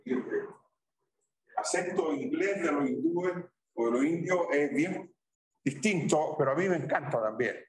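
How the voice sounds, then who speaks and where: A man's voice: on the high side, unhurried, slight background noise. A man with a Chilean accent, a university math professor chatting informally with his students and tagging his sentences with "¿ya?" and "¿ah?". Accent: Chilean Spanish. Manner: conversational, tags sentences with "¿ya?" and "¿ah?". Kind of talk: informal chat with students during class. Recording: very echoey room, distant mic.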